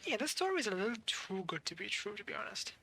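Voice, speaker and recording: Bright-voiced woman streaming a game. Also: mid-range voice, sing-song, fast, slight background noise. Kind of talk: casual playthrough commentary.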